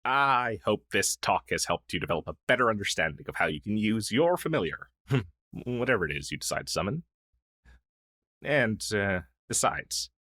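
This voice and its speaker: A man's voice: lowish voice, sharp tone, dry recording, clean studio sound, full character voice. A man playing a friendly, shy crow familiar in an intimate fantasy audio roleplay, speaking in a hesitant, earnest, conversational way. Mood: shy, wistful. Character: friendly, shy crow familiar, a masterless male magical helper. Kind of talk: intimate ASMR roleplay acting.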